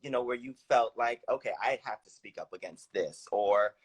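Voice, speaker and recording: A man's voice: medium pitch, moderate pace, slightly rough. A man hosting a casual interview, supportive, with frequent short affirmations. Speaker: interviewer, host.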